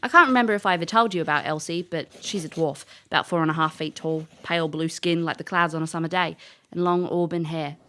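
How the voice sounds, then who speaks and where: A woman's voice: deep voice, fast, sharp tone. A woman playing a brash, cheeky, big-hearted elf in an audio drama, with a British accent and wry, colloquial talk. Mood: wry, friendly. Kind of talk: audio drama acting and first-person narration.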